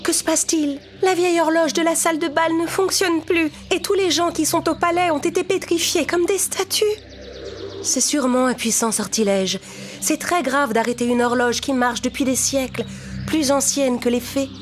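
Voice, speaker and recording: A woman's voice: sits high, moderate pace, slight background noise, voice pushed up, lightly acted. A woman voicing a wise, caring magical helper who advises solemnly in a children's cartoon story. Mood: grave, urgent.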